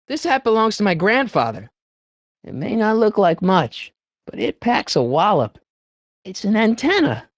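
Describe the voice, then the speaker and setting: An excitable, wisecracking eighties American teenage boy as a video game hero. His slangy, youthful delivery swings widely in pitch. The recording is close and dry, with no room sound. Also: dry recording. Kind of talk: animated video game voice lines.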